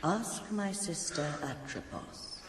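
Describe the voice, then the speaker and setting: An otherworldly Fate, voiced by a man in an audio play, solemn and oracular. The voice is slow and rather high, very echoey and distant. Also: lively intonation. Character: ancient Fate, cryptic spinner of destinies, solemn, otherworldly. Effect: heavy echo. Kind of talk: historical audio drama acting. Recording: very echoey, distant, slight noise.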